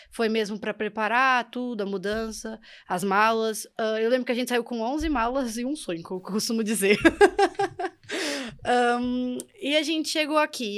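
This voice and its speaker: A young woman with a Brazilian accent talks as a guest on a podcast. Her voice sits fairly high, and she speaks in a chatty, informal storytelling way. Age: young adult. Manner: chatty, informal, often laughing. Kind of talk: casual conversational storytelling.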